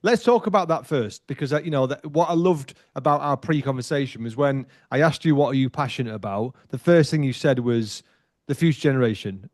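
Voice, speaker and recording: A talkative man of forty hosts a podcast, chatting loosely and circling back on his words. His voice sits at an ordinary middle pitch. The sound is dry and close, with no room to it. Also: fairly quick, a little mellow, dry recording. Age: 40s. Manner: chatty, loose with many restarts and fillers. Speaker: podcast host. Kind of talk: casual interview chat.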